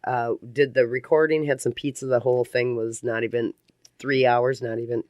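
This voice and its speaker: A woman's voice: very deep voice, steady tone, normal speed, a little mellow, dry recording, clean studio sound. A woman hosting a casual radio interview, talking in an enthusiastic, effusive way. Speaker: radio talk show host. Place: radio station studio.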